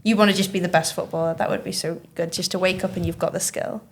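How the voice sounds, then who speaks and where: A woman's voice: lowish voice, fairly even intonation, quick pace. Chatty Mancunian woman hosting. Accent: Mancunian English. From Manchester, England. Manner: chatty, encouraging, lots of "like" and "okay". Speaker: teacher hosting a school podcast. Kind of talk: casual interview chat with pupils.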